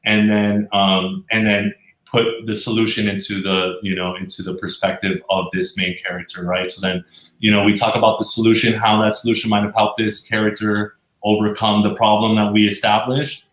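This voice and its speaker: A man's voice: fairly low pitch, flat delivery, moderate pace, fairly bright tone. A man being interviewed, explaining his work in a casual way, often circling back to rephrase. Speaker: interview guest, explainer video professional. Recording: roomy, echoey sound.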